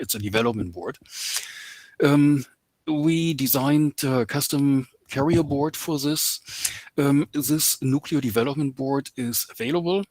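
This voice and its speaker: A man giving a guest lecture over a video call, speaking English as a second language, filling pauses with lots of ums. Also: mid-range voice, dry recording. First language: not English. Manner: hesitant, many ums and uhs. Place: home in Canada.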